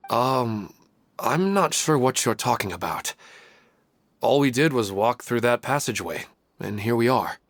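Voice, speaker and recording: A man's voice: medium pitch, animated, unhurried, fairly bright tone, no echo. A man playing an easygoing, ordinary fellow in a fantasy video game. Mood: casual, puzzled.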